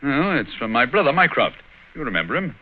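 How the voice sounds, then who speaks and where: A restless, impatient English detective, declaiming with big swings of pitch. His clipped, theatrical delivery comes through a dull vintage radio recording. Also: sing-song, fairly quick. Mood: brisk, pondering. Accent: British English. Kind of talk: 1940s radio acting.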